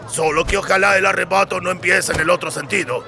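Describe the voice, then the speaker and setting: Gruff, sardonic dwarf warrior played by a male narrator, sharp-edged and gravelly, blunt fantasy audiobook delivery. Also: high voice, steady tone, fast, soft background music, voice pushed up, exaggerated voice. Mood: wry. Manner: blunt, sarcastic, swearing. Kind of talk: dramatised fantasy audiobook dialogue.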